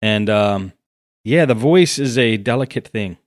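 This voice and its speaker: A man's voice: on the low side, animated, unhurried. A man guesting on a podcast, laid-back and joking in casual chat.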